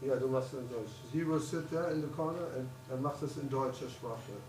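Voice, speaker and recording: A man's voice: medium pitch, brisk. A man organizing the event, practically directing people and arranging the translation. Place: temple hall. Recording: distant, echoey, crowd around.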